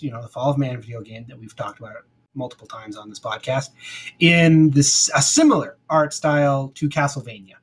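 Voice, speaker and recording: A man with a somewhat high-pitched, husky voice talks as a podcast co-host. He is informal and emphatic, pitching ideas in the style of a casual chat between friends.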